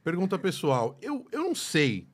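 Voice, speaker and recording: A man's voice: a little high, wildly swooping pitch, normal speed. A man hosting a podcast interview, teasing and playful, often stumbling and restarting his sentences. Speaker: podcast host. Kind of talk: casual interview chat.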